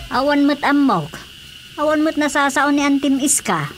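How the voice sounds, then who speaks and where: Chatty Ilocano village woman, fairly high voice. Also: animated, moderate pace, faint music behind. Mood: chatty, sympathetic. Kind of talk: Filipino radio drama comedy acting.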